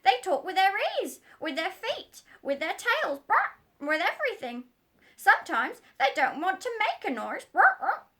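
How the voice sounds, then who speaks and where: A woman voicing a proud, bossy female parrot who squawks and talks in a sharp, emphatic way. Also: extremely high pitch, very animated, a little slow, voice pushed up, full character voice. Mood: squawky, bossy.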